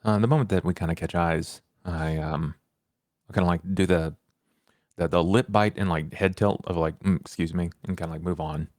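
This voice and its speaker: A man playing a tabletop game, casually roleplaying in a terse, deadpan manner. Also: low-pitched, moderate pace, dry recording, studio quality.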